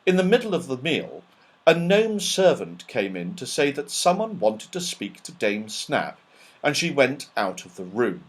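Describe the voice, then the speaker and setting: A man reading a children's story aloud as scripted narration, in an expressive, dramatic storytelling manner. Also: medium pitch, measured pace, husky. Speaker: audiobook reader.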